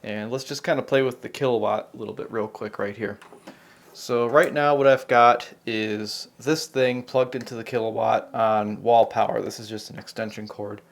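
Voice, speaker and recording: A man's voice: fairly low pitch, fairly even intonation, normal speed, gravelly. An American man giving an unscripted, hands-on technical demonstration, talking casually and thinking aloud as he works through it as host. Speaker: host of a repair video channel.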